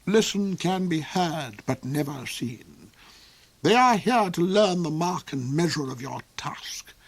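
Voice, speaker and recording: A man voicing a cold, cruel old duke who speaks slowly and mockingly, sometimes sinking to a hissing whisper. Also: fairly high pitch, animated, slightly rough, dry recording, pitched above the performer's own voice, full character voice. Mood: sneering. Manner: slow, silky, mocking, drops into hissing whispers. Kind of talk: audiobook character voice.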